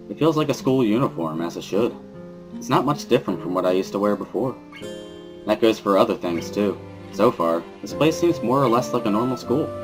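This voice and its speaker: A man reading a first-person story as a scripted dramatic narration, in an introspective, measured way. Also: sits low, rough recording.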